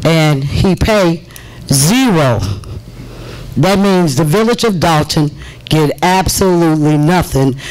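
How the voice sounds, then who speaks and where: A trustee speaking out to residents at a village board meeting, deliberate and emphatic, repeating phrases for effect. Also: female voice, deep voice, darkish tone, low-quality recording. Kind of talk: impassioned public meeting speech.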